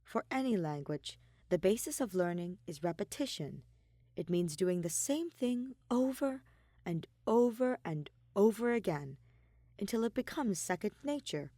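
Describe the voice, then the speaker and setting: A woman reading a piece of fiction aloud as an expressive, emphatic narrator. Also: medium pitch, measured pace, dry recording, studio quality. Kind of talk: expressive scripted story narration.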